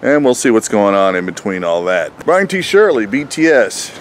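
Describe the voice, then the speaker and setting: A man's voice: on the low side, sing-song, moderate pace. A man talking casually and chattily to viewers as host of his own comedy road vlog. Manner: chatty, addresses viewers as folks. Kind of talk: casual vlog talk to camera. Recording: noisy outdoor and vehicle background.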